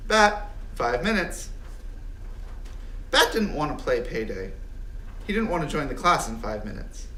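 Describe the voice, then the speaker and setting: A man reading a children's storybook aloud with expressive, animated delivery. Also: medium pitch. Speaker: audiobook reader. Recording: roomy, distant mic, faint background noise.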